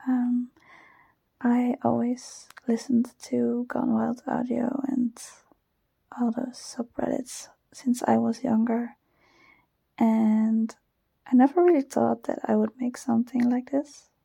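A young woman with a Dutch accent whispering softly and shyly into a close microphone, giggling often and hesitating with many fillers. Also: a little high, flat delivery, takes it slowly, vocal fry, breathy edge, no room sound. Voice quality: soft whisper. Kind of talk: whispered personal ramble, ASMR-like.

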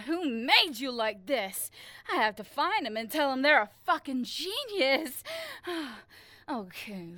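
A woman playing a bossy, demanding young adult college senior in an adult audio roleplay, with a breathy, emphatic delivery. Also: on the high side, sharp tone, no echo, exaggerated voice. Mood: commanding, teasing. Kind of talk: adult intimate audio roleplay, dramatic monologue.

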